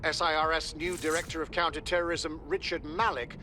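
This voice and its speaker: A man's voice: a little high, level tone, fairly quick, bright, forceful tone. A man playing a formal, impersonal television news reader in a video game bulletin. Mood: formal, neutral.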